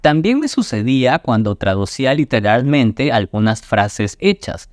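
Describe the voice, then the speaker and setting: A man's voice: medium pitch, sing-song, no room sound. Man explaining, dry close sound. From Peru. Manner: clear, measured, didactic. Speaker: educational video host. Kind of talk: scripted explainer narration.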